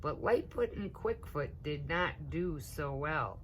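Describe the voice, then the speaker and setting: A woman reading a children's storybook aloud, expressive and emphatic, as if to young children. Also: sits low, takes it slowly, a little hiss or hum. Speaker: story time reader, teaching assistant.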